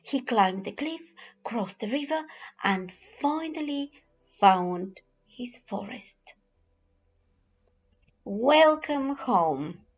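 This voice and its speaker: Very slow-paced woman reading a storybook. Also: on the high side, animated, breathy edge. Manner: deliberate, dramatic pauses and drawn-out repeated phrases. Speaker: storyteller reading a children's picture book. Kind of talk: slow expressive storybook narration. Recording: dull lo-fi recording with music behind. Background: music.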